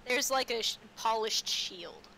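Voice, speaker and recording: A woman narrating a tabletop roleplaying game casually as game master, with halting, stammered starts. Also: fairly high pitch, over a noisy crowd. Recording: clearly noisy background.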